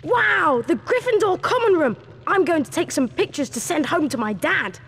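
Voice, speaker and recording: An excitable young British boy in a video game. The high voice leaps around with eagerness and carries a long reverberant tail. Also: normal speed, vocal fry, heavily acted. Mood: eager.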